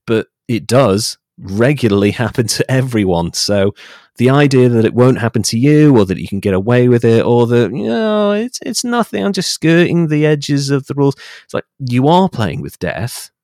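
A man in his late thirties speaking as a podcast guest in a reflective interview conversation, articulate, measured and opinionated. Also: medium pitch, a little mellow, no echo, studio quality. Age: late 30s.

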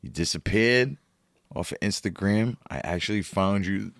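Low-voiced man, blunt and profane. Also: normal speed, vocal fry, no echo, clean studio sound. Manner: blunt, swears a lot, animated. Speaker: podcast host. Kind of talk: casual profane chat.

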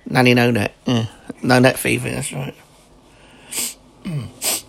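A man's voice: medium pitch, fairly even intonation, slow, gravelly, slight background noise. A man, hosting a casual podcast chat and laughing often. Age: 40s. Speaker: podcast host.

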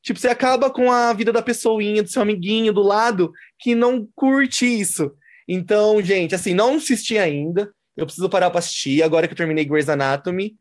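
A woman's voice: mid-range voice, a little fast. A young woman speaks as a co-host in a casual chat. Her delivery is informal and conversational, addressed straight to listeners. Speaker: show co-host.

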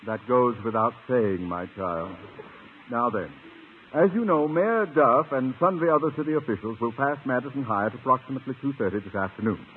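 An actor playing a pompous, short-fused high school principal in an old-time radio comedy, speaking in officious, formal phrases. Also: male voice, a little fast, close to an everyday voice. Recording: narrow, hissy old radio broadcast.

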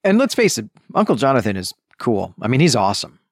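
A man hosts a book discussion podcast with a lively, swooping delivery. He talks conversationally and leans on key words for emphasis, often checking in with the listener. The sound is dry, with no room around the voice. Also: medium pitch, fast talker, breathy edge, no room sound. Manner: emphatic, checks in with rhetorical "right?". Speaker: podcast host.

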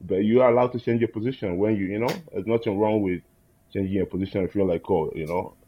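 A man's voice: on the low side, steady tone, fast, highs cut off, a little hiss or hum. A man speaking earnestly and reflectively in a casual roundtable talk, often checking in with "right?". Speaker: roundtable guest.

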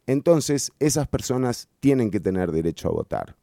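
A man's voice: mid-range voice, big pitch swings, moderate pace, dark tone, no room sound, clean studio sound. A man hosting a radio show, giving emphatic political opinion commentary and repeating key phrases for stress. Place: radio studio.

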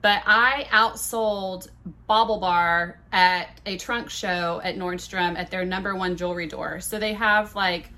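A woman's voice: on the low side, steady tone, measured pace, pushed and bright. A woman in her thirties hosting her own podcast, telling stories in a chatty, profane, self-mocking way with a big voice. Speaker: podcast host. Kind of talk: casual solo podcast storytelling.

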